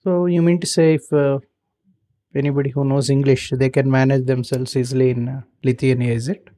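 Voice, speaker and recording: Host voice, warm and dark, plain direct questions. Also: medium pitch, level tone, fairly quick. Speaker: podcast host. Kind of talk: interviewer asking questions.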